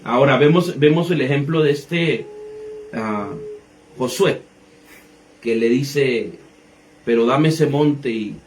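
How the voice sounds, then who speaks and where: A man's voice: mid-range voice, takes it slowly, long pauses, darkish tone, slight background noise, poor audio. A man in his thirties, a pastor, teaching the Bible conversationally, explaining with rhetorical questions and everyday examples. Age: 30s.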